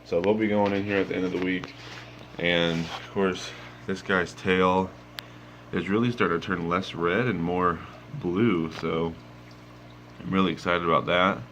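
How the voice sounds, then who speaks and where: A man's voice: low-pitched, measured pace, slight background noise, low-quality recording. A young man with a sleepy voice, rambling casually with lots of ums as he shows his fish tanks at home, filming on a handheld phone. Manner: relaxed, rambling with many ums. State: sleepy, just woke up. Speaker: aquarium vlogger. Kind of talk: casual walkthrough vlog. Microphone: handheld phone camera.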